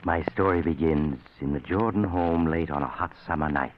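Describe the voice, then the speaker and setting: Male host, very low voice, sly and ominous, savoring each phrase, muffled old radio suspense with heavy hiss. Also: normal speed. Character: mysterious male storyteller, ominous, slyly genial. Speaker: host and narrator of a suspense show. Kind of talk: 1940s radio suspense narration. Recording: noisy, muffled 1940s radio recording.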